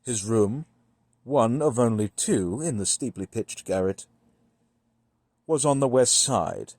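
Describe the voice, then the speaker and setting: A man reading a gothic horror story as scripted narration, in a measured, deliberate manner with dramatic pauses. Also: medium pitch, expressive, a little mellow, no room sound. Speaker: audiobook-style reader.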